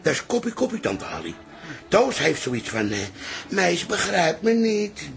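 A man's voice: high-pitched, wildly swooping pitch, unhurried, creaky, full character voice. An actor playing a sly, jovial elderly father who talks in folksy sayings in a radio comedy. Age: older. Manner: folksy, fond of rhymes and sayings.

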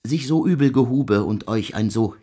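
A man playing a brooding, doomed composer who addresses his guests in halting, murmured, archaic solemnity in a radio drama. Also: medium pitch, warm tone, slightly rough, lightly acted. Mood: apologetic, halting. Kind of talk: German radio drama acting.

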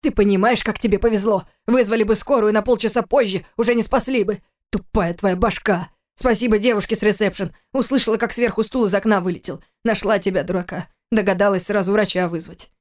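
Audiobook drama figure: a stern older father acted out by a woman, grieving and angry. The delivery is forceful and reproachful, pressing a grown son with pointed questions. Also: high-pitched, fairly even intonation, a little fast, few pauses, lightly acted.

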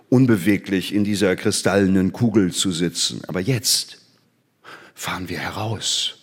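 Low male voice, enthusiastic science teacher, declamatory, didactic, theatrical drama. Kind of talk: theatrical scripted performance.

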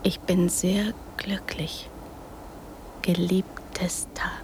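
A woman acting in a radio drama as a naive, trusting, affectionate girl who speaks in halting, simple childlike phrases. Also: fairly low pitch, level tone, whispered, rough recording. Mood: tender, hushed.

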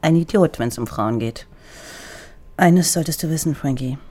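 A woman's voice: sits low, moderate pace, warm tone. A woman playing a teasing, sardonic East German police lieutenant in a radio crime drama, speaking bluntly and with mocking familiarity.

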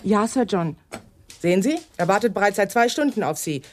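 A woman playing a brisk, prim, gently reproving secretary in a radio crime play, pointedly polite. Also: medium pitch, animated, a little hiss or hum, lightly acted. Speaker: actor in a radio crime drama. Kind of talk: 1980s German radio play acting.

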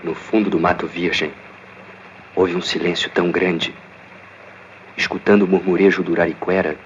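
A man playing a solemn, measured storyteller narrating a mock-heroic folk tale in a film. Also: sits low, flat delivery, background noise, close to an everyday voice. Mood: solemn, wry. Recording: dull old film soundtrack, music behind.

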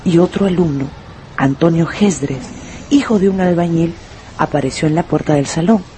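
Mellow storytelling narrator with background noise. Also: medium pitch, moderate pace. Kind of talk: scripted literary narration for radio drama.

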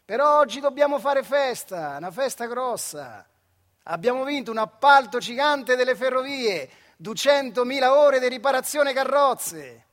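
An actor playing a brash, callous male factory boss in a stage monologue, blunt, forceful and colloquial, with a central Italian accent. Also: extremely high pitch, animated, moderate pace, in a large hall, voice pushed up. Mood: triumphant. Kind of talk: dramatic theatrical monologue.

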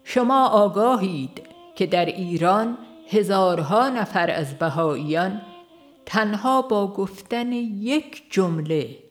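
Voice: mid-range voice, lively intonation. An audiobook reader, giving a scripted narration of a religious book.

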